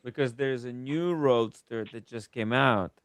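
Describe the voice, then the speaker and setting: A man's voice: mid-range voice, unhurried, slight background noise. A man hosting a casual livestream chat, thanking viewers and guests with enthusiasm. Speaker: host.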